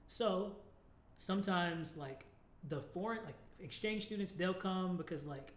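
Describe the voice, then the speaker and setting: A man's voice: high-pitched, unhurried. A young American man co-hosting a podcast, chatting casually and informally, often dropping in the word "like". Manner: informal, peppers speech with "like". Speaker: podcast co-host. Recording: echoey room with faint background noise.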